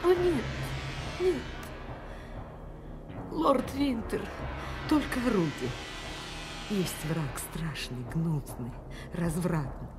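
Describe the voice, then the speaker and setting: An actress playing a seductive villainess who poses as a helpless, persecuted victim, imploring theatrically between whispers and cries in a radio drama. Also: female voice, on the low side, animated, very slow, pauses often, music in the background. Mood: desperate pleading. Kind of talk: classic radio drama acting.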